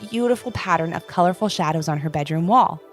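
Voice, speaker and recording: A woman's voice: on the low side, lively intonation, fast talker. A woman narrating a scripted children's story expressively, with dramatic emphasis. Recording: music under the voice. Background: music.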